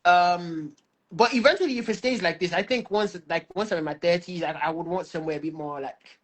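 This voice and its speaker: A man's voice: high voice, a little fast, fairly bright tone. A man in his twenties who grew up in Lagos, a musical artist talking easygoingly as a guest on a video call. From Lagos, Nigeria. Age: 20s. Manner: easygoing, many "like" and "you know" fillers. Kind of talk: casual interview chat.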